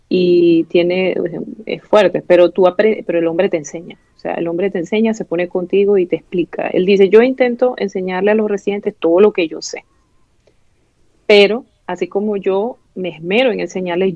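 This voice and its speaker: A woman's voice: mid-range voice, dark tone, no room sound. A Venezuelan woman in her thirties, a resident doctor, speaking candidly in an interview with many fillers and restarts. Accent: Venezuelan Spanish. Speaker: pathology resident doctor, guest. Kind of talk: casual interview chat. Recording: dull lo-fi sound.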